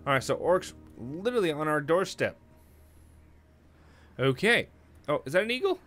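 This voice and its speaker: Excitable man with bright, swooping voice. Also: a little high, normal speed, soft background music. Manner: excitable, thinking out loud. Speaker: let's play host. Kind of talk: casual gameplay commentary.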